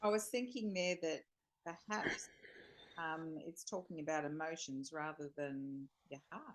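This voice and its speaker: A woman taking part in a study discussion, sharing reflections in a tentative, thoughtful way with pauses. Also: sits low, fairly even intonation, a little hiss or hum, poor audio. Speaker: study group participant.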